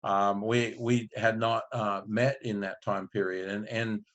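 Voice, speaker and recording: Low-voiced man from Australia. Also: measured pace. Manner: relaxed, rambling, with many restarts. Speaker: marketing agency owner, guest. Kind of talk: casual interview storytelling.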